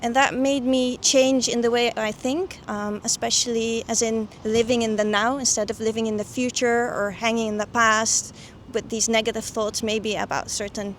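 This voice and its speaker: Young lady, kinda high voice, thinking out loud in an interview, some noise behind. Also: level tone, moderate pace, hardly any pauses. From the Netherlands. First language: Dutch. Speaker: guest, coaching client and doctor. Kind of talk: personal testimonial interview.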